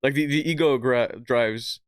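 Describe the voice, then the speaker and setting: A man's voice: medium pitch, a little slow, no echo, studio quality. A young man from Hungary living in Quebec, co-hosting a podcast in a casual, slangy, argumentative way. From born in Hungary, lives in Quebec. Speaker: podcast co-host. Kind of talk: casual, joking podcast debate.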